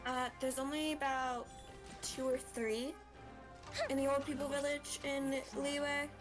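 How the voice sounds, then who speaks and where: A woman's voice: sits high, flat delivery, a little slow. A woman hosting a game livestream, chatting casually. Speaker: livestream host. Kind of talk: casual gaming chat. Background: clear game music.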